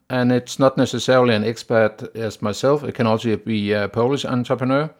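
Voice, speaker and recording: Danish-accented man with a gravelly voice. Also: mid-range voice. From Denmark. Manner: steady, plain delivery with frequent "uh" pauses. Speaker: podcast host. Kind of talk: solo business podcast monologue.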